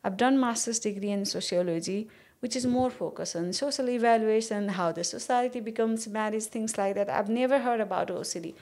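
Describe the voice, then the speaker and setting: A woman's voice: a little high, fairly quick, darkish tone. A woman from Nepal hosting a podcast, chatting informally and asking questions in a conversational interview style. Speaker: podcast host.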